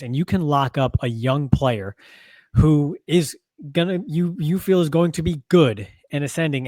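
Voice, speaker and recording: A man's voice: a little high, no room sound. Wry man talking football, dry sound. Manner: wry, teasing, measured reasoning. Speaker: podcast co-host, football analyst. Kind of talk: casual sports chat.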